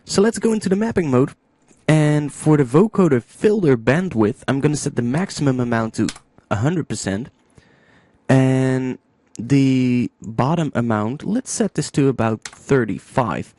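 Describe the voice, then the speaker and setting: A man with a mellow, relaxed tone walks through a software tutorial. His pitch moves in a lively way as he thinks aloud, casual and informal. The voice is recorded dry, with no sense of room around it. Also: a little slow, dry recording. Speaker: music production tutorial host.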